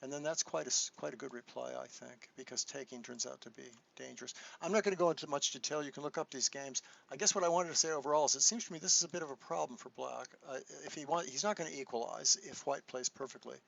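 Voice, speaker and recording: A man teaching a live online chess lesson, soft-spoken and thinking aloud as he walks through moves, with frequent restarts. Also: medium pitch, quick pace, vocal fry, few pauses, dull audio. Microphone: desktop microphone.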